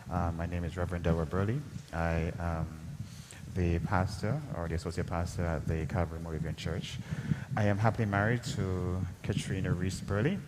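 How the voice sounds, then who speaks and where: Deep-voiced Jamaican pastor in a noisy hall. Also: male voice. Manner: earnest, measured, with frequent "um" fillers. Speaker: associate pastor, guest. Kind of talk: broadcast interview. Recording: echoey hall with loud background noise.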